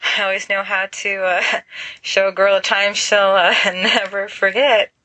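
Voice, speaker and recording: A woman's voice: mid-range voice, flat delivery, measured pace, sharp tone, low-quality recording. A woman voicing a brash, lovestruck teenage wizard boy in an audiobook, exclamatory and a bit awkward. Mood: nervous, awkward.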